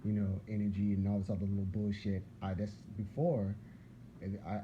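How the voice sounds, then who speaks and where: A man's voice: on the low side, level tone, moderate pace. A man from whose was Arabic, preaching in a casual, rambling monologue that repeats words and restarts sentences. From Philadelphia. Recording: dull lo-fi sound with clear background noise.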